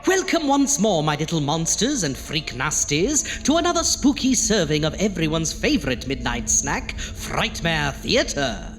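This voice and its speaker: A man's voice: on the high side, very animated, a little slow, few pauses, over music. A man playing a gleefully malicious, pompous undead horror host with a theatrical, arch delivery in a campy audio drama. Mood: gleefully sinister. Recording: long reverb, faint noise.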